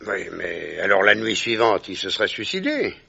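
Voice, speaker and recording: An actor playing a loyal, good-humoured gentleman companion in conversational French radio drama dialogue. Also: fairly low pitch, sing-song, normal speed, creaky, breathy edge, heavily acted. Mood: questioning. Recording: dull old radio recording.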